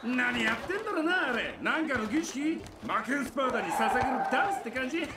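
Game creature: squawky wisecracking demon bird, extremely high and swooping, mocking chatter. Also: male voice, animated, fast, roomy sound, recorded from a distance, noisy background. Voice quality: shrill, squawky. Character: cocky wisecracking talking demon bird, male sidekick. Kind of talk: animated video game voice acting.

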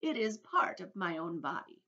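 A woman's voice: lowish voice, big pitch swings, normal speed, sharp tone. A woman voicing a kindly, proud old male grasshopper musician in a storybook, genteel and theatrical. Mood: delighted, proud. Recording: dull lo-fi recording.

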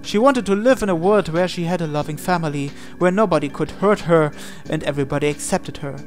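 A man reading a visual novel aloud as expressive narration, in a measured, dramatic way. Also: fairly high pitch. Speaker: gaming channel host reading aloud. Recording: voice over game music. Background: game music.

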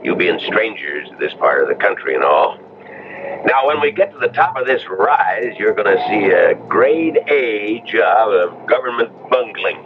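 An actor playing a folksy, easygoing Western local driver, plain-spoken and hesitant, in 1970s radio drama. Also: male voice, fairly low pitch, very animated, moderate pace. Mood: folksy, grumbling. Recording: noisy, tinny old radio recording.

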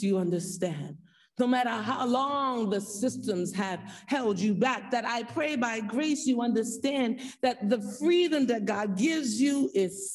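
A woman preacher delivering an earnest sermon, exhorting her listeners with repeated phrases and emphatic pauses. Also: high-pitched, darkish tone. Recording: echoey hall, distant from the mic.

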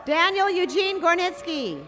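A woman reading graduates' names one by one at an outdoor commencement, slow and careful. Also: extremely high pitch, very animated, noisy background. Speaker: commencement name reader.

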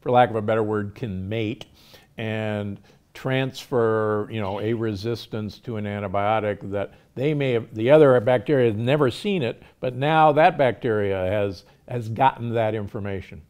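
A man's voice: fairly low pitch, steady tone, moderate pace, a little mellow, smooth. An older man hosting an interview podcast from a studio set, asking questions and reacting with enthusiastic, reflective asides. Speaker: podcast host, doctor. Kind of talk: conversational interview. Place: studio set with lamp and shelves.

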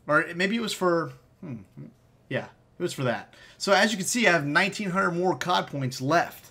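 A man's voice: high voice, lively intonation, moderate pace, vocal fry, bright, forceful tone, breathy edge. An American man in his thirties giving casual, upbeat, slangy commentary for a gaming video.

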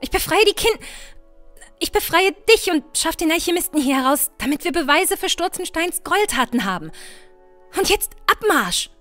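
A woman playing a determined, brisk young noblewoman in a fantasy audio drama. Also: extremely high pitch, very animated, fairly bright tone, faint music behind, exaggerated voice. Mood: impatient. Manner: bossy, brisk.

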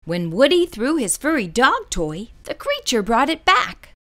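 A woman storyteller reading a children's picture book aloud, expressive and carefully phrased. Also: fairly high pitch, unhurried.

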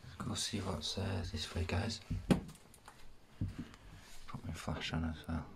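A man's voice: deep voice, level tone, slow, creaky. A British man giving casual, informal exploration commentary to his viewers on a handheld camera indoors. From United Kingdom. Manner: informal, addresses viewers as guys. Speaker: urban explorer vlogger. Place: inside a small derelict cottage. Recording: noisy handheld camera audio.

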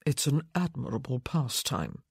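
A woman voicing a young gentleman rake in an audiobook, speaking with wry, restrained feeling. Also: on the low side, lively intonation, unhurried, no echo, studio quality. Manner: murmuring, gasping, restrained. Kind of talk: dramatic audiobook character acting.

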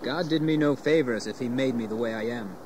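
An actor playing a proud, brooding, resentful young gentleman in an audio history drama. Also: medium pitch, fairly quick, background noise, natural voice. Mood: bitter defiance. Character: bitter, defiant young gentleman, rebellious and proud.

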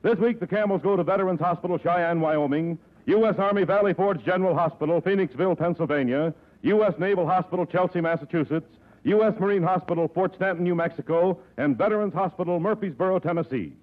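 A man's voice: sits high, quick pace. An American man reading scripted announcements in 1940s radio style. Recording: tinny 1940s radio broadcast.

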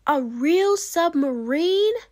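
A woman reading the part of a bright, determined young girl in a storybook, eager and emphatic. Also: very high-pitched, expressive, slow pace, pitched above the performer's own voice, heavily acted. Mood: curious.

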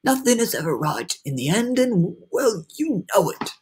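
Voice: medium pitch, very animated, normal speed, breathy edge, pitched above the performer's own voice. A performer voicing a gloomy, snappish male earthworm in a dramatic children's storybook read-aloud, complaining and predicting doom. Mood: scornful.

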